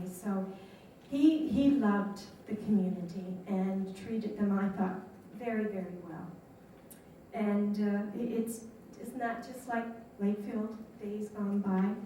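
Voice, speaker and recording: A woman's voice: fairly high pitch, darkish tone, slight background noise. Chatty woman in an echoey hall. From Canada. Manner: chatty, amused storytelling. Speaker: local history speaker. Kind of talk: reminiscing talk to an audience. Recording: echoey room from a distant mic.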